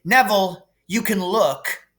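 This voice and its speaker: A man's voice: high-pitched, slow pace, pushed and bright, pitched above the performer's own voice, heavily acted. A man voicing an anxious young schoolboy in a storybook reading.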